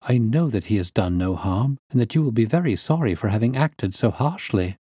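A man's voice: fairly low pitch, expressive, fairly quick, warm tone, breathy edge, no echo, clean studio sound. A man voicing an earnest, polite young English lady in an audiobook, imploring on behalf of her cousin. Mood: pleading. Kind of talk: audiobook character reading.

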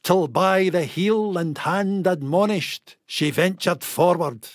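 A Scottish man reciting a narrative poem in Scots in a theatrical, deliberate, emphatic storytelling manner. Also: high voice, dry recording, clean studio sound.

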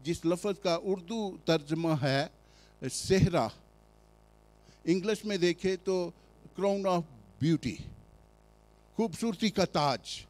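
A man with a Pakistani Urdu accent preaching from the pulpit, his voice sitting somewhat high. He takes his time, leaning on rhetorical questions and pausing often to hesitate before pressing each point. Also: darkish tone. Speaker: pastor. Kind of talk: sermon.

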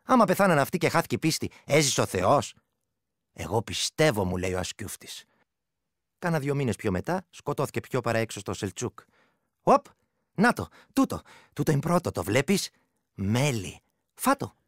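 An actor playing an earthy, irreverent village ex-soldier who spins yarns excitably in a radio play monologue. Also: male voice, mid-range voice, long pauses, no room sound, clean studio sound. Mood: hearty. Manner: animated, exclamatory, earthy. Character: earthy, irritable male village ex-soldier, irreverent yarn-spinner.

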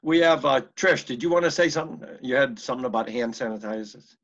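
A man's voice: medium pitch, fast talker, a bit bright. Muffled American man chairing an online meeting. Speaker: board of health meeting chair. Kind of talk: rambling meeting discussion. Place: video conference call. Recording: muffled, band-limited video-call audio.

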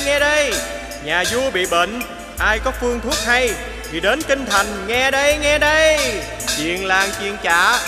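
A cartoon town crier with a very high voice. He chants his proclamations in drawn-out phrases, ringing in long reverb over music. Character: royal town crier, loud announcing man. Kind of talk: animated fairy tale acting.

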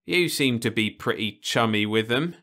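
A man's voice: mid-range voice, lively intonation, normal speed, sharp tone, voice pushed up. A man voicing a sly, burly uncle who probes with leading questions, in a storybook reading. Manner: sly, probing. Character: burly, sly, hairy middle-aged uncle.

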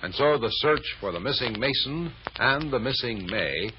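A man's voice: mid-range voice, expressive, normal speed, noisy background. A man narrating an old-time American radio serial as its announcer, in a dramatic, suspenseful, emphatic manner. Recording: muffled old radio recording.

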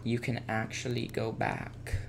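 Male tutorial presenter, informal screencast narration, medium pitch with noticeable vocal fry. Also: monotone, measured pace. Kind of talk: casual software tutorial screencast voice-over. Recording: faint background noise and keyboard typing.

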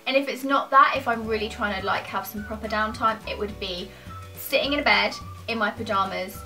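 A woman's voice: mid-range voice, fairly quick, sharp tone, far from the mic, faint music behind. A British woman in her twenties chatting casually to camera in an informal, self-deprecating, rambling way. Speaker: YouTuber answering questions to camera. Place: small furnished room at home, indoors.

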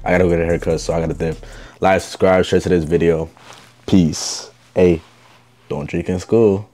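Congested young man with a low voice. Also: fairly even intonation, moderate pace, mellow, faint music behind. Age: young adult. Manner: slangy, blunt, cussing. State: congested with a sore throat. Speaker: reaction video host. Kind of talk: casual reaction commentary. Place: bedroom studio, indoors. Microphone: headset mic.

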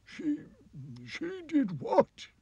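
A man's voice: huge pitch swings, very slow, gravelly, mellow, dry recording, exaggerated voice. A wailing, weepy old king. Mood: tearful. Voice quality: high quavering wail, stammering. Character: weepy miserable old king, always crying. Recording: dull lo-fi recording.

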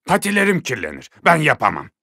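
A woman voicing a lazy, fussy farm dog in a children's cartoon, with a husky voice. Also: sits low, wildly swooping pitch, fast talker, bright, forceful tone, no echo, studio quality, full character voice. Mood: dismissive. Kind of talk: children's cartoon fairy-tale acting.

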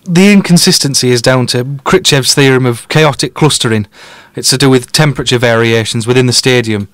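Male actor as cocky detective genius; deadpan, teasing, mid-pitched, close dry sound. Audio drama. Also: fairly quick, dry recording, close to an everyday voice. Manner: deadpan, matter-of-fact. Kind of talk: podcast-style audio drama dialogue.

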